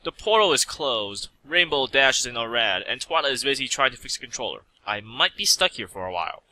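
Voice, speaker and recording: A man's voice: medium pitch, sing-song, brisk, sharp tone, full character voice, rough recording. A man playing a polite, slightly awkward stranded mechanic in a story reading, with a hesitant manner. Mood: polite, unsure.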